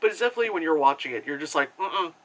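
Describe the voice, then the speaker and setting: A young man talks casually through a movie review, rambling and filling gaps with likes and you-knows. His voice sits a little high with a bright, forward edge. He breaks into animated acted reactions. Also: very fast, poor audio. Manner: chatty and animated, many fillers, jumps into high acted reactions.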